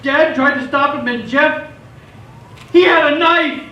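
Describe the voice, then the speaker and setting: Echoey, high-voiced anguished teenage boy played by an actor. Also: a little slow, bright, forceful tone, full character voice. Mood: anguished, guilty. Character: anguished teenage boy vlogger, bewildered and guilt-ridden. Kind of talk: live radio theatre acting. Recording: echoey theatre stage, distant mic, faint noise.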